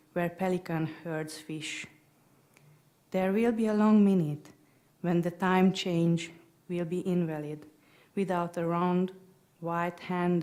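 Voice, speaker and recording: A woman's voice: fairly low pitch, dark tone. A woman from Hungary with a Hungarian accent, a visiting writer chatting about her stay and reading a poem aloud. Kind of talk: poetry reading. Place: public library event room.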